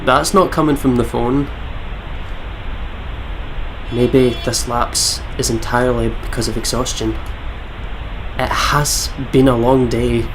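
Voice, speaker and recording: A man's voice: medium pitch, background noise. A man with a Scottish accent reading visual novel narration aloud as a let's player, with casual reactions.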